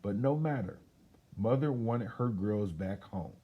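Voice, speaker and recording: Animated man reading a children's book aloud. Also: on the low side, a little slow, breathy edge, highs cut off. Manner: expressive, chatty. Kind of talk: animated read-aloud with casual asides.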